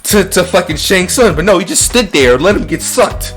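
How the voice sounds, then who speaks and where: A man's voice: high voice, expressive, brisk, a bit bright, faint music behind. A man hosting a casual movie-review chat, ranting with profanity and opinions, often repeating and stumbling over his phrases. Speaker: podcast host.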